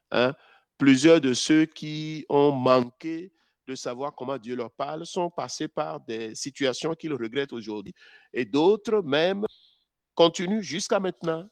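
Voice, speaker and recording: A man's voice: fairly high pitch, darkish tone, dry recording. A man, a pastor, giving a teaching sermon, addressing listeners directly, with many hesitations and repeated words.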